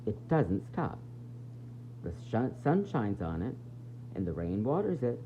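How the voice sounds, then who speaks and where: Animated male storybook reader, tinny sound. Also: fairly low pitch, measured pace. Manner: expressive, explanatory, addressing children directly. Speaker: preschool teacher reading a picture book aloud. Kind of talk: storybook read-aloud for young children. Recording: tinny phone-like audio with faint noise.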